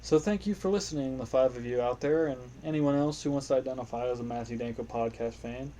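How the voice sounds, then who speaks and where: A man's voice: medium pitch, quick pace, mellow, poor audio. A young man hosting his own podcast alone, rambling in a casual, self-deprecating way and swearing freely. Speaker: podcast host. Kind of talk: casual solo podcast monologue. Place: home room, playing a video game.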